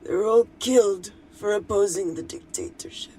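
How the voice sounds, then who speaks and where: A woman's voice: mid-range voice, moderate pace, mellow, slight background noise, lightly acted, low-quality recording. An actress playing a bitter, grieving woman seeking justice, with an intense, accusing manner in a dramatic film style. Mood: bitter, grave.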